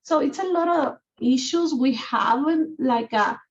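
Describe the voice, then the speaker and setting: A woman's voice: sits high, measured pace, highs cut off. A woman from Guatemala with a Guatemalan Spanish accent, speaking English as a second language as she talks earnestly and at length about her advocacy work. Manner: earnest and talkative, with non-native grammar. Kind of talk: video-call community conversation. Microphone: video call.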